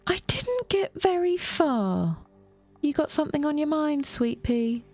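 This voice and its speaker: A woman's voice: high voice, big pitch swings, unhurried, darkish tone, soft background music, voice pushed up, exaggerated voice. A woman playing a wry, playful female office colleague who teases but cares, in an audio drama. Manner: playful, ironic.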